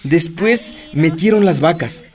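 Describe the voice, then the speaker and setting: A woman playing an anguished Amazonian villager, telling her story gravely and with grievance in a radio drama. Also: low-pitched, animated, takes it slowly, a little mellow, slight background noise.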